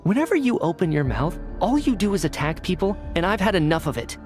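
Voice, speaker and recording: A man's voice: high voice, big pitch swings, fast talker, faint music behind. An actor playing an earnest, courteous young man in a video game, articulate and reasoning things out aloud. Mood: indignant. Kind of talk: video game cutscene acting.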